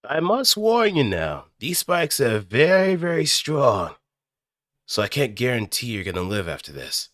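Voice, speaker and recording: A man's voice: medium pitch, sing-song, no echo, clean studio sound. A man voicing a politely menacing robot pilot from an anime game, giving warnings and attack calls. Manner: drawn-out warnings, then yelled attacks. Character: polite but menacing male spike-wielding mecha pilot.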